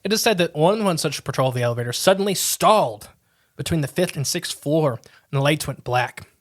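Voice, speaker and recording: A man reads a creepy story aloud as a podcast co-host, his voice sitting a bit higher than most men's. He trips over words and restarts often, then breaks into casual asides. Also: expressive, fairly quick. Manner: stumbles and restarts often while reading.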